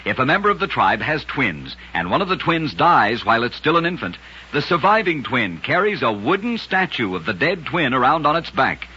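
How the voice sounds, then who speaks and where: A man's voice: mid-range voice, very animated, normal speed, sharp tone, breathy edge, dull audio. A man hosting an old-time radio show, narrating scripted oddities in a dramatic, emphatic way on a vintage recording. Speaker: radio storyteller and host.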